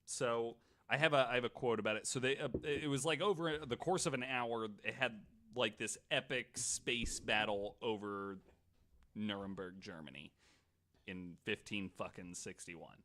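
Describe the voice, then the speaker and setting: Male podcast host, mid-pitched voice, casual storyteller with an irreverent, sweary streak and stammered restarts. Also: moderate pace, a bit bright. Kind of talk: casual storytelling chat, sometimes reading quotes aloud.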